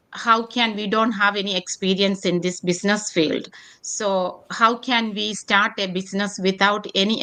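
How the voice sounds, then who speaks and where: A woman hosting an online panel discussion, speaking in a halting way that often circles back over phrases. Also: mid-range voice, a bit bright, poor audio. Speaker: host.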